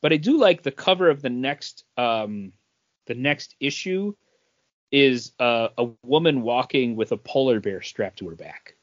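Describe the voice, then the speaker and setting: A man's voice: mid-range voice, expressive, a little slow, no echo. A man hosting a podcast in a casual, conversational review of comic books. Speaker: podcast host. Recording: dull, dry lo-fi sound.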